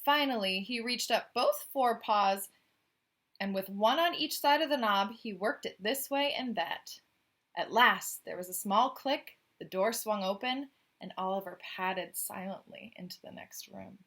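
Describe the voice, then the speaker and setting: A woman's voice: mid-range voice, expressive, a little slow, fairly bright tone. A woman reading a children's picture book aloud as a storyteller, expressively, with chatty, questioning asides to young listeners.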